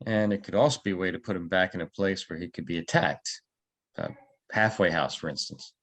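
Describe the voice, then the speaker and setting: A man playing a calm, probing security guard turned investigator in a naturalistic script read, low-key and terse. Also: a little fast. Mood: thoughtful.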